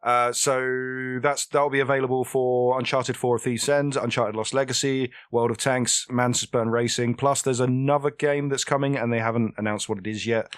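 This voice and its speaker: A man's voice: medium pitch, little pitch movement, moderate pace, few pauses, clean tone, no echo, studio quality. An English man co-hosting a gaming podcast, running through news items in casual chat with frequent fillers. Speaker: podcast co-host.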